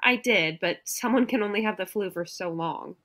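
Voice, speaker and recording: A woman's voice: medium pitch, normal speed, fairly bright tone, natural voice. An actress playing a hurt, pointed young ex-girlfriend and coworker in a radio play. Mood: resentful. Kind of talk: radio play acting, naturalistic dialogue.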